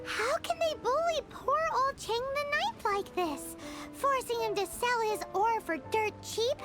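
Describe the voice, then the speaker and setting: An extremely high, squeaky voice for a tiny game sprite. It is cheeky and scolding, swooping widely at an unhurried pace, with loud music behind. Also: female voice, lively intonation. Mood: indignant. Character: tiny childlike floating companion sprite, cheeky and indignant.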